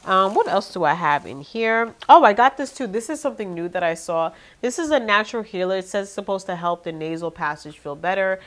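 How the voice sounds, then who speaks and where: A woman's voice: medium pitch, a little fast, few pauses. A young woman with a nasal, congested voice chatting to her viewers about her shopping haul in her living room. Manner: chatty, animated, talks to viewers directly. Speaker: vlogger. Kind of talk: casual haul video chat.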